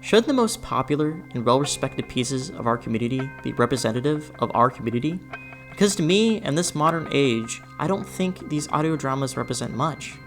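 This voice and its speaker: Animated, expressive video essayist over music. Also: mid-range voice, a little fast. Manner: expressive, emphatic, conversational asides. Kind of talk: scripted opinionated video essay. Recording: music behind the voice. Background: background music.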